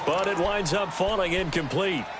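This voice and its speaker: A high-pitched American man acting as a football play-by-play announcer for a video game. He calls each play in a polished broadcast manner, and very loud stadium noise sits behind him. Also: moderate pace, lightly acted. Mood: steady, focused. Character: male football broadcast announcer calling plays with polished excitement. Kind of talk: sports play-by-play commentary. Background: loud stadium ambience and game sounds.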